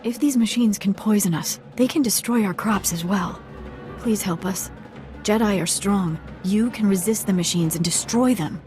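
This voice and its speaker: Woman acting a village matriarch, soft mellow tone, solemn and formal, game drama, faint music under it. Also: mid-range voice, natural voice. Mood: urgent pleading. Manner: earnest, measured, formal. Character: solemn, dignified village matriarch, grieving yet resolute.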